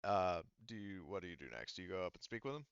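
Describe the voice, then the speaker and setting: A man speaking as game master, improvising tabletop game narration in a casual, halting, rambling way and often hedging. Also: deep voice, animated, smooth, no echo, dull audio.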